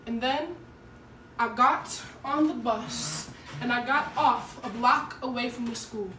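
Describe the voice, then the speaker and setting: A woman's voice: a little high, takes it slowly, sharp tone. A woman playing an earnest, nervous teenage schoolgirl who tells her story in an emotive dramatic monologue. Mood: earnest, uneasy. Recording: echoey room, distant mic, faint noise.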